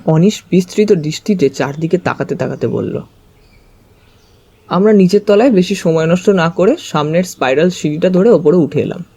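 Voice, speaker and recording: A man reading a first-person scripted story as its narrator, with expressive storytelling delivery. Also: sits high, normal speed, gravelly, breathy edge. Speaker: narrator and detective's companion.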